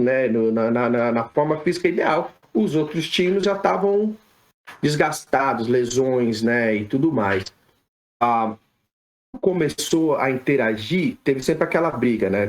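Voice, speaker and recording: A man from Brazil, a physiotherapist guest on a podcast, answering questions in a relaxed, conversational way with frequent tag questions and restarts. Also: medium pitch. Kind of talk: casual podcast interview answers.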